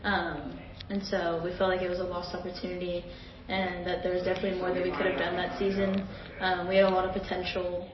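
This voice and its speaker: A young woman in her twenties, a college soccer player, answers in a subdued, hesitant way with a flat, slightly low voice. She sounds distant from the mic in an echoey space, the audio is dull, and a crowd can be heard clearly behind her. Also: a little fast. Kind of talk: interview answer.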